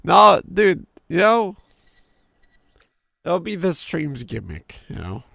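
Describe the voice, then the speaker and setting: A hoarse man in his thirties with a sore throat, streaming a game and reading its story text aloud in a deeper storyteller voice. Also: sing-song, slow, sharp tone, dry recording, studio quality. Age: 30s. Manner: drops into a deep storyteller voice for the narration.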